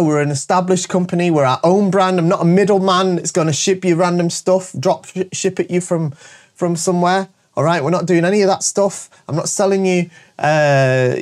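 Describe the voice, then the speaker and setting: A man hosting his show, his voice stuffy and bunged up from a cold, talking energetically and jokily, tagging "all right?" onto his points. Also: fairly high pitch. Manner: energetic and jokey, repeating "all right?" often. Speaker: show host and shop owner. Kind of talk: casual promotional monologue to camera.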